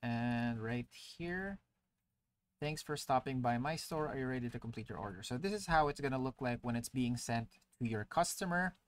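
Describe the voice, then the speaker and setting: A man giving a casual, step-by-step software tutorial as a voice-over to a screen recording, explaining with frequent filler words. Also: medium pitch, expressive, moderate pace. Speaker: tutorial presenter.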